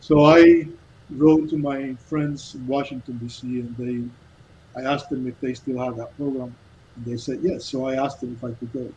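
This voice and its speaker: A man from Cuba in his fifties, a guest telling his life story in an earnest, halting way with many restarts. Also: medium pitch, steady tone, warm tone, a little hiss or hum, rough recording. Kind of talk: casual storytelling interview.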